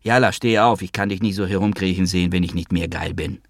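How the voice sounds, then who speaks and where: A man voicing a worn-out, wry and amorous man with a brittle, hushed voice. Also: low-pitched, fairly even intonation, brisk, creaky, no room sound, clean studio sound. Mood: stern.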